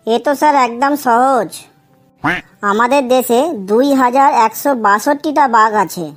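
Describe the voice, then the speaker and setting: A woman's voice: a little high, moderate pace, faint music behind, lightly acted. A woman voicing a cheeky, silly young schoolboy in a comedy cartoon. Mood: cheeky earnest. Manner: cheeky, earnest silly answers. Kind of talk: comedy cartoon dialogue in Bengali.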